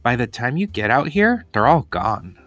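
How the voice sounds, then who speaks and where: A man's voice: mid-range voice, very animated, normal speed, fairly bright tone, no room sound, faint music behind. A man voicing an easygoing male school yard teacher in a storybook, with a cajoling manner. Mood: defensive.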